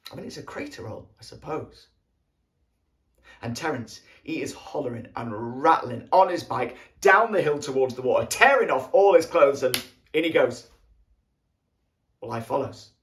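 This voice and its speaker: A man playing a wistful British soldier of the First World War, reminiscing tenderly in an earthy, halting stage monologue. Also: mid-range voice, expressive, lightly acted. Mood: amused, animated. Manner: colloquial, earthy, halting storytelling. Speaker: actor performing an audition monologue.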